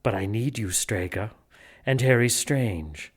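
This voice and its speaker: An actor playing a menacing, pompous leader of a religious order in an audio drama, speaking formally and gloatingly. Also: male voice, fairly low pitch, lively intonation, measured pace, slightly rough. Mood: stern, threatening.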